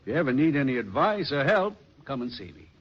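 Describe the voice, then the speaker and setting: An actor playing a kindly grocery store owner who speaks in an encouraging way, in 1940s radio acting. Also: male voice, mid-range voice, very animated, fast, breathy edge. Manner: warm, encouraging. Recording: muffled old radio recording.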